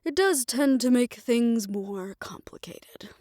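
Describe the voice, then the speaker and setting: A woman playing a tender, world-weary lover in a fantasy audio roleplay, speaking in a measured, reflective and intimate way. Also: fairly high pitch, animated, dry recording, studio quality. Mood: measured, resigned. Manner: measured, reflective, formal phrasing, soft hushed moments. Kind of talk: romantic audio roleplay, intimate scripted monologue.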